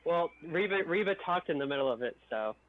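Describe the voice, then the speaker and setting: A man's voice: fairly high pitch, lively intonation, fairly quick, no room sound. A man chatting casually as a video editor and co-host on an online group stream. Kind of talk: casual group chat about editing. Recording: tinny narrow phone-like call line.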